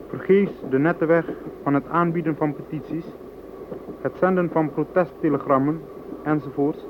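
A noisy, phone-thin radio recording holds an actor as an earnest political spokesman. The man speaks formally and measuredly in a slightly high voice. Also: close to an everyday voice. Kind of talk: formal political speech, scripted. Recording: tinny radio, clear background noise.

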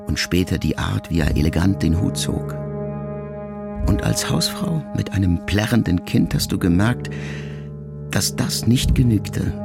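Male audiobook narrator, very low, heavy gravel, unhurried and grave over quiet music. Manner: slow, solemn, reflective delivery with long pauses. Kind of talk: scripted literary narration.

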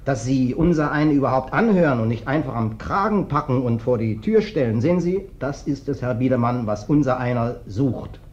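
An actor in a German radio play playing a big ex-wrestler drifter, wheedling and falsely humble, with slyly menacing folksiness. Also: male voice, medium pitch, animated, fairly quick, few pauses, darkish tone, lightly acted. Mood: sly, plaintive. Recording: dull, band-limited radio play recording.

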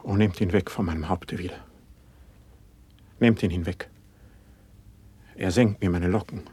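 An actor playing a humble, fervent young court poet in a classical radio verse drama, declaiming solemnly with deep feeling. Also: male voice, fairly even intonation, mellow, slightly rough, full character voice. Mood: agitated, pleading. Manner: solemn, impassioned, measured declamation.

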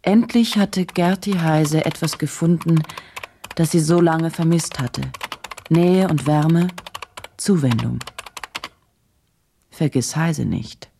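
Dark-voiced, low, creaky woman journalist. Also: slow pace, pauses often, a little hiss or hum. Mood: brooding, intent. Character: probing female journalist, inward and unsettled, reading and narrating. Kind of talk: radio drama narration.

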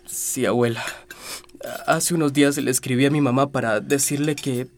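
A man's voice: medium pitch, fairly even intonation, normal speed, lightly acted. An actor playing a restless, stubborn young man in a radio drama, pleading impatiently in Latin American Spanish. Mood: impatient, defiant.

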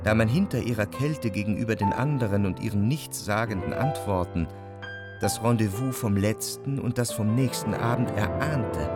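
An actor playing an indecisive, brooding young man, narrating a literary radio drama in a reflective, measured way. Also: fairly low pitch, lightly acted. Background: instrumental music.

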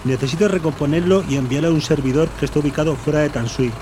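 A man's voice: fairly high pitch, fast, background noise, lightly acted. An actor playing an exasperated but polite office worker in an audio drama, the dry straight man. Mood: purposeful.

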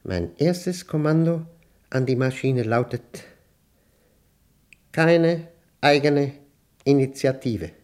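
A man acting an obsessive, unravelling professor who dictates his diary in a German radio play, with emphatic, deliberate diction and short clipped phrases. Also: medium pitch, lively intonation, darkish tone. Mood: commanding, obsessive. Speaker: radio drama actor.

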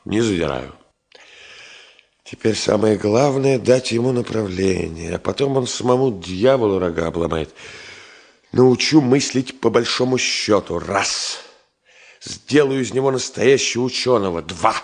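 An actor playing a driven, warm-hearted middle-aged engineer in a Soviet radio drama, colloquial and animated, moving between muttering and exclaiming. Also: male voice, fairly low pitch, measured pace, creaky. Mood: determined, intimate. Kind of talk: naturalistic 1970s Soviet radio drama acting.

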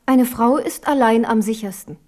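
An actress playing a devout, resolute queen and mother in a 1970s radio drama, speaking gravely and softly. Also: a little high, moderate pace, close to an everyday voice.

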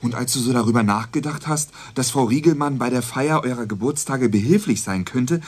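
An actor playing a young, sceptical, quick-witted police dispatcher in a radio crime drama, talking in a wry, questioning way. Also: male voice, medium pitch, close to an everyday voice. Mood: realising, sardonic. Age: young adult.

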